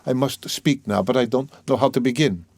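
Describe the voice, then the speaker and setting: A man voicing an anguished, bitter young murderer in a dramatic audiobook reading, agitated, brooding and halting as he confesses. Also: medium pitch, darkish tone, no echo, pitched above the performer's own voice, natural voice. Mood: despairing. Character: anguished, guilt-ridden young male murderer confessing, bitter and self-loathing.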